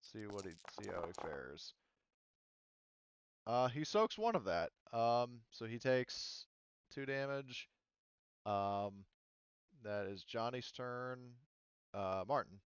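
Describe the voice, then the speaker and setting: A man's voice: medium pitch, sing-song, slow, pauses often, clean tone, dry recording, highs cut off. A man running a tabletop roleplaying game as game master, explaining rules and action casually with some swearing.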